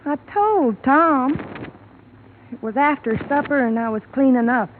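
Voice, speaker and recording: A reluctant country housekeeper on old radio. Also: female voice, high voice, measured pace. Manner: plain, reluctant, uneducated country speech. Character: frightened rural woman, ranch housekeeper. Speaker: witness. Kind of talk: 1950s radio drama acting. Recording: tinny lo-fi old radio broadcast with hiss.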